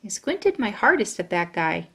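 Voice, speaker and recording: A woman's voice: mid-range voice, big pitch swings, moderate pace, gravelly, a bit bright, husky, exaggerated voice. A woman voicing a brash, chatty kindergarten girl who tells her own story in childish grammar. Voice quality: childlike, bossy, ungrammatical storytelling. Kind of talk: audiobook narration.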